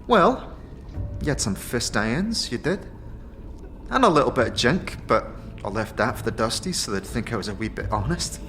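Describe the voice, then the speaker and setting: A man's voice: mid-range voice, big pitch swings, moderate pace, fairly bright tone, soft background music. A man voicing a wary, greedy, sneering female tiefling thief in an audio drama, full of colloquial slang. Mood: wary, grudging. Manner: colloquial thieves' slang with inverted, repeated tag phrases. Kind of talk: audio drama character acting.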